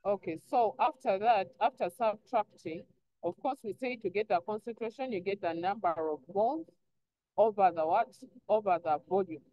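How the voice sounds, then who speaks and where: A woman's voice: mid-range voice, moderate pace, no room sound. Woman teaching online, dull lo-fi sound. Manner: instructive, asks prompting questions and answers them herself. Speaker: chemistry teacher. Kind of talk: online lesson, explaining step by step. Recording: dull lo-fi online class audio.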